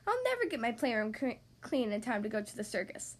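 A woman voicing an excitable young boy rabbit in a children's storybook, whiny and boastful in a dramatic, exclaiming manner. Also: fairly high pitch, a little fast. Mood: whiny, upset. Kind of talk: children's storybook read-aloud.

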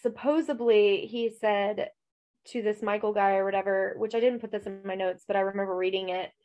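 A woman's voice: mid-range voice, steady tone, fairly quick, darkish tone. A woman co-hosting a true-crime podcast, chatting casually with a stuffy nose, rambling with lots of fillers and laughs. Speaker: podcast co-host.

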